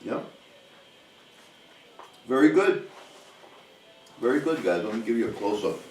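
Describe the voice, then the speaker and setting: A man's voice: mid-range voice, lively intonation, measured pace, a little mellow, faint music behind. A man from Canada talking casually as an outdoor vlog host, rambling in a hesitant, folksy way. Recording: roomy, distant mic, faint noise.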